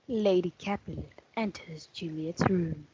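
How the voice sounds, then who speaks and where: A young woman reads stage-play narration at a medium pitch. The recording is dull, with clearly audible noise. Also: a little slow, dark tone.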